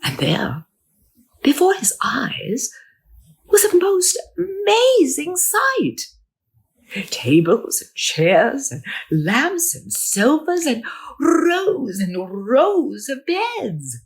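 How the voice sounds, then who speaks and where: A woman reading a children's storybook theatrically, with dramatic exclamations and hushed asides. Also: high voice, huge pitch swings, extremely slow. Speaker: storybook reader.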